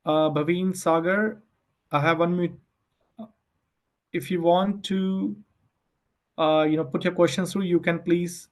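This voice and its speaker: A man with a slightly high voice leads an online webinar as an adviser. He explains things step by step in polite, halting phrases, often restarting as he goes. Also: steady tone. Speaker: immigration adviser hosting a webinar.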